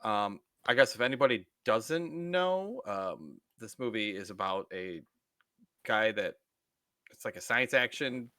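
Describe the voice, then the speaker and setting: A man co-hosts a casual movie podcast, joking crudely as he sums up the plot. His voice is bright and forceful. The recording is dry and close. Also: lowish voice, animated, measured pace, no room sound. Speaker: podcast co-host.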